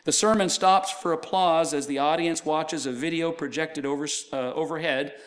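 A man's voice: a little high, steady tone, normal speed. A middle-aged man preaching a sermon, losing his voice, telling stories with casual asides. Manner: conversational storytelling with asides. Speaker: pastor.

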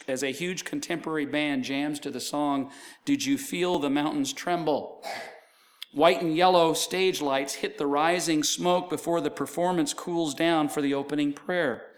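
A middle-aged preacher whose voice sits a little high for a man. His voice is fraying as he loses it, but he keeps going in a loose, conversational way, telling stories with offhand asides. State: losing his voice. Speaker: pastor. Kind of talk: sermon.

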